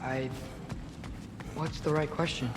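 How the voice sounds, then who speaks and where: A man's voice: a little high, steady tone, slow pace, background noise. A young man playing an earnest, questioning teenage boy adventurer in a video game, speaking in a hesitant, searching way. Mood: uncertain, troubled. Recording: prominent game score behind.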